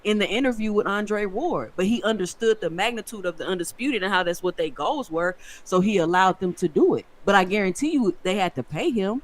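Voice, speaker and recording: A man co-hosting a boxing podcast, arguing insistently and repeating points for emphasis. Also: high voice. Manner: insistent, lecturing, emphatic repetition. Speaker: podcast co-host. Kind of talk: heated casual debate.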